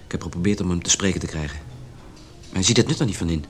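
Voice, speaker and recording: Man acting a low-voiced, lovesick, pleading husband. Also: very fast, faint music behind, lightly acted. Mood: indignant, defensive. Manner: pleading, self-pitying. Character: lovesick, pleading husband who writes love poems. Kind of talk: radio drama acting.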